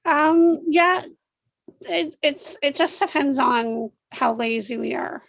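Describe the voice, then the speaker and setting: A Canadian woman guest in a casual chat, relaxed and self-deprecating, who says she has a speech impediment. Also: high voice, takes it slowly, creaky, no room sound, low-quality recording.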